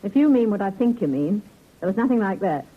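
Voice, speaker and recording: An actress playing a hard-bitten, guarded nightclub singer, sardonic and world-weary, in a vintage radio drama. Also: female voice, medium pitch, expressive, fairly quick, breathy edge. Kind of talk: 1950s radio drama acting. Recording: thin, narrow old radio recording.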